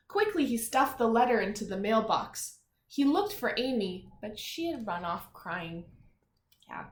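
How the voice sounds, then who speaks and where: Female primary teacher for children's read-aloud, expressive delivery with gentle questioning asides, mid-range voice, roomy echo. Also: mid-range voice, slight background noise. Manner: expressive, emphatic when reading, softer conversational asides; encouraging, pauses to question young listeners. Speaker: teacher reading a picture book to pupils. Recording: echoey room sound.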